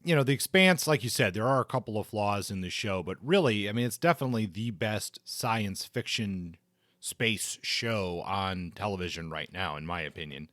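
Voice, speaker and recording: A man hosting a podcast, reviewing things in a casual, conversational way with measured opinions. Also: fairly low pitch, animated, a bit bright, no room sound, studio quality. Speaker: podcast host.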